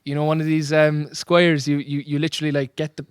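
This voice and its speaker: A man's voice: fairly high pitch, monotone, brisk, smooth, dry recording, studio quality. A young man with a Cork Irish accent, a singer chatting casually about his band, rambling and laughing often. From Ireland. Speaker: singer and bandleader. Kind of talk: casual interview chat.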